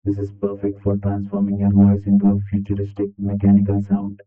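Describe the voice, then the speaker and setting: A man's voice: lowish voice, completely flat delivery, brisk. A man narrating a scripted tutorial through a robot voice filter. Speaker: tutorial presenter. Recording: phone-like band, echoey, distant, faint music.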